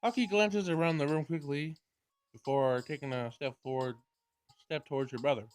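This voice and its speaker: A man's voice: a little high, steady tone, moderate pace. A man doing a let's play of a visual novel, reading dialogue aloud with casual commentary and stumbling over words as he goes. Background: game music.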